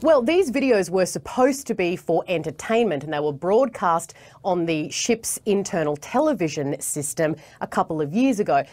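Australian woman, thirties, TV correspondent, lowish pitch, live report and scripted read. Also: lively intonation, normal speed, hardly any pauses, darkish tone. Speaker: TV news foreign correspondent. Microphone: lapel mic.

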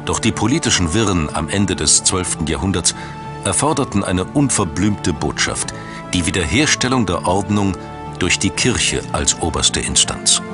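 Deep male doc narrator, measured n dramatic, music behind him. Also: expressive. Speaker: documentary narrator. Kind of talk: scripted documentary narration. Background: background music.

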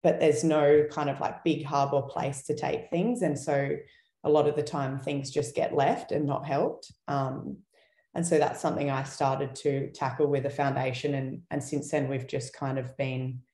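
A young woman speaking casually as a guest on an online panel, with lots of fillers and soft laughs over a video call. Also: rumbling low, little pitch movement, normal speed. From Australia. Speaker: guest, wildlife activist. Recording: roomy video call audio.

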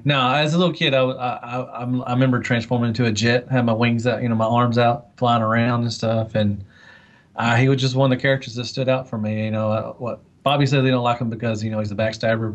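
A man chatting casually as a podcast panelist, with a rambling, colloquial way of talking. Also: medium pitch. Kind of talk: casual chat.

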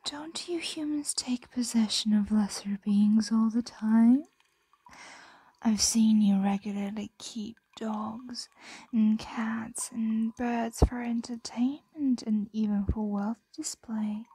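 A woman voicing a possessive, condescending ancient dragon in a breathy, coaxing ASMR roleplay whisper. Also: a little high, fairly even intonation, takes it slowly, dark tone, no echo, full character voice, poor audio. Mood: amused, condescending.

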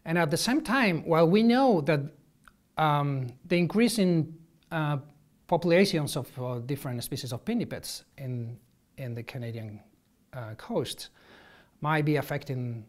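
Male ecologist, 30s, slightly high voice, hesitant interview delivery on a lapel mic. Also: lively intonation. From Spain. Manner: halting, many restarts and ums, gestures while explaining. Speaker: marine ecologist. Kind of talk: interview answers to camera. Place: office with shelves, indoors.

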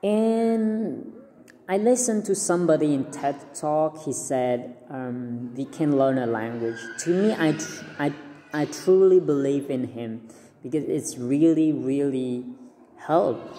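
Slow, mellow Cambodian-accented young man. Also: on the high side, big-room sound, a little hiss or hum. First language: Khmer. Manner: earnest, repeats words and restarts often. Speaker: vlogger giving language tips. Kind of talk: casual talk to camera. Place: small indoor room. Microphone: phone at arm's length.

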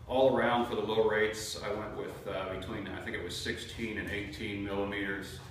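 A man's voice: on the low side, fairly even intonation, moderate pace, slight background noise. A man in his thirties giving a methodical model aircraft review to camera, with frequent fillers, in an indoor studio. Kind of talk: product review talk to camera. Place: indoor green screen studio. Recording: very echoey room, mic far off.

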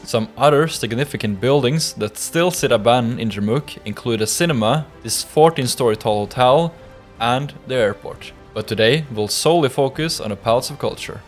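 A young man reading a scripted history voice-over for his vlog in a measured, explanatory way. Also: mid-range voice, animated, soft background music. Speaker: vlog narrator.

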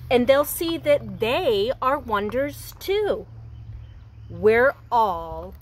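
A woman in her thirties, a preschool teacher, reading a picture book aloud to young children outdoors in a gentle, expressive voice. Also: on the high side, drawn-out words, a little hiss or hum. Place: outdoors in front of a house.

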